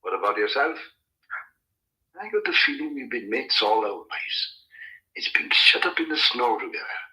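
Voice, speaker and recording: A plain-spoken, loyal old farmhand, fatherly and familiar. His voice is very bright and edgy, in a roomy vintage radio drama recording. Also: medium pitch, animated, moderate pace. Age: older.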